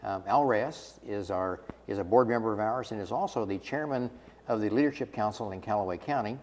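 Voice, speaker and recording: A man hosts a small local interview program, greeting guests in a friendly way and restarting sentences often. His voice is on the low side. A long echo and faint hiss hang on the sound. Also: fairly quick, a little mellow. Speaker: host, chapter executive director. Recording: echoey studio with faint hiss.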